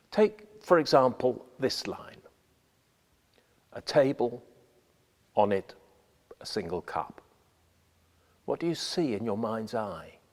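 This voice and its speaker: A man delivers scripted instruction at a slow, deliberate pace. His pitch moves expressively to stress key phrases, and the sound carries a long reverb, as in a hall. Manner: measured, deliberate, emphatic phrasing. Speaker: radio playwright giving writing advice.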